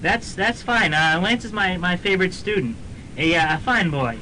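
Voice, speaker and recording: A man playing a nervous professor in a radio comedy, halting and stammering as he talks. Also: high voice, expressive, bright, forceful tone, a little hiss or hum, low-quality recording. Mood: flustered. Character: nervous, stammering male professor and hobby demonologist. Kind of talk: student radio comedy drama acting.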